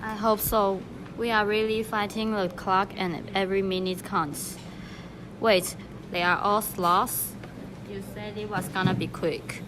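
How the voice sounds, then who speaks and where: A woman playing an eager, hurried young police officer in an amateur radio play. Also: mid-range voice, little pitch movement, natural voice. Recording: noisy amateur room recording.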